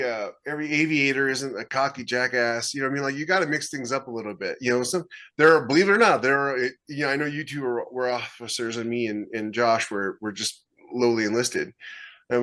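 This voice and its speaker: A man's voice: mid-range voice, fast, a bit bright. A middle-aged man in a casual panel chat, speaking bluntly and emphatically, often repeating his phrasing. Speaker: podcast co-host. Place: indoor room on a video call.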